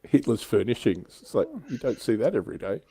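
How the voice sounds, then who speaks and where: A man's voice: lowish voice, lively intonation, fairly quick, creaky, mellow, breathy edge, no room sound. A man hosting a casual hobby livestream, chatting informally in an anecdotal, joking way. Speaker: show host.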